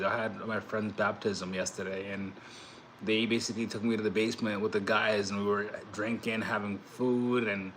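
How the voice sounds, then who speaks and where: Casting: young man, low voice, chatty crypto vlogger, rambling and restarting, faint hiss behind. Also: a bit bright, low-quality recording. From Dominican heritage. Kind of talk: casual vlog monologue.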